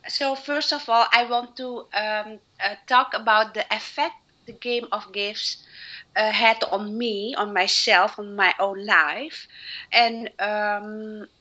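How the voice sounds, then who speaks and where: A middle-aged woman from Suriname whose first language is Dutch, speaking as a guest on personal development and pausing often to find her words. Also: mid-range voice, fairly even intonation, bright, forceful tone, dull audio. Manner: earnest, with frequent fillers and restarts. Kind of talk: interview answers.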